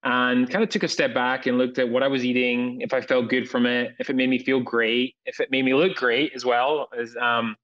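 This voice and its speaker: A man's voice: medium pitch, highs cut off. A man in his early thirties from Scotland, a company founder speaking steadily as a guest on a video-call interview. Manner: steady, measured, lists points. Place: indoor room, home.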